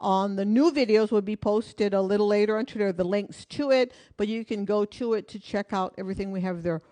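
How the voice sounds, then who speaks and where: A woman's voice: medium pitch, fairly quick, mellow. A woman teaching a live online hula class from her home in Hawaii, counting beats and talking through each move in a friendly, encouraging way.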